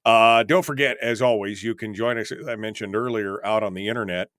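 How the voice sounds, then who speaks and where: A man's voice: on the low side, brisk, fairly bright tone, no echo. A man hosting a live talk radio show in a studio, rambling with many fillers, joking and self-deprecating.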